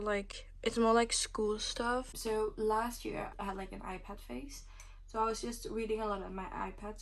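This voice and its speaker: A young woman in her twenties talks casually to the camera from her bedroom, in English that is her third language. She rambles through her answers, leaning on "like" as a filler. Her voice sits at a middle pitch, and her phrases often fade into a breathy whisper at the end. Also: a little hiss or hum. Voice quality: speaks English as a third language, a non-native speaker. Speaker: student vlogger.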